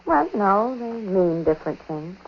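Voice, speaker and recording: An actress playing an anxious, superstitious wife in a 1940s radio drama, speaking in hesitant, naturalistic phrases that trail off. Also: female voice, mid-range voice, expressive, measured pace. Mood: wondering, uneasy. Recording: muffled, noisy vintage 1940s radio broadcast.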